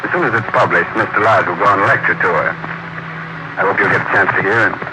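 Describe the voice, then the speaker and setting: An actor playing a ghoulish horror-show host in 1940s radio style, mock-polite and sardonic. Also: male voice, deep voice, fast, natural voice. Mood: sardonic, gleeful. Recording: noisy old radio broadcast recording.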